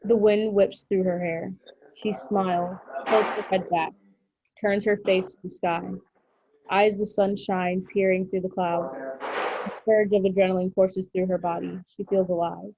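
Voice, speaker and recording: A woman's voice: mid-range voice, level tone, unhurried, noisy background. A woman reading screenplay stage directions aloud as narrator at a table read. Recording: dull lo-fi recording.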